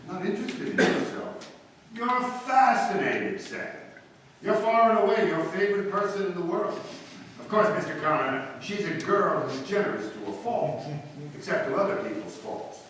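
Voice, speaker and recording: A man's voice: sits high, normal speed, fairly bright tone. A man playing a suave, teasing upper-class ex-husband in an old-time radio comedy, breezy and mocking. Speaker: actor in a live radio play. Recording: very echoey hall, distant mic, faint hiss.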